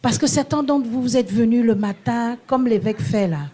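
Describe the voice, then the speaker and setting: A man's voice: very high-pitched, normal speed, warm tone. A man interpreting a sermon live in a church, echoing each phrase right after the preacher in French or English.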